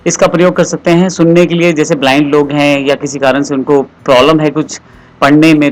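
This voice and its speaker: Guy doing a computer tutorial, kinda high voice, explains step by step. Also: rough recording. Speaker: software tutorial instructor. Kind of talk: step-by-step screen tutorial narration.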